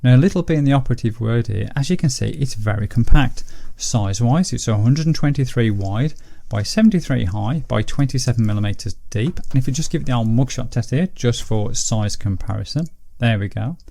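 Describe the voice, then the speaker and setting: A man's voice: medium pitch, animated, brisk, vocal fry, warm tone. A man presenting a casual product review in a methodical, explanatory way. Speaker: host of gadget review videos.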